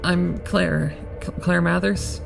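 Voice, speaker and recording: Woman as a shaken, creaky-voiced young vampire. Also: fairly low pitch, steady tone, takes it slowly, over music, heavily acted, low-quality recording. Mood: shaken, confused. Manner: stammering, hesitant, apologetic. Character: confused, frightened young female newborn vampire on spring break. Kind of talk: audio drama acting.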